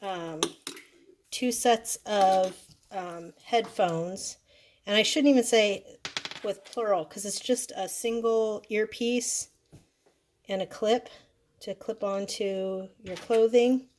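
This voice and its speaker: Woman reviewing a product over clear background noise. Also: mid-range voice, fairly even intonation, takes it slowly, darkish tone. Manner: conversational, explains step by step. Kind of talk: casual unscripted product demonstration. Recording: clearly noisy recording with clicks.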